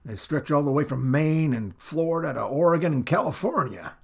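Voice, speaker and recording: An actor playing a loyal, plain-spoken police sergeant with slangy, colloquial speech in an old-time radio drama. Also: male voice, on the high side, expressive, a little fast, gravelly, husky, exaggerated voice. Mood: blunt, dismayed. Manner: colloquial, blunt.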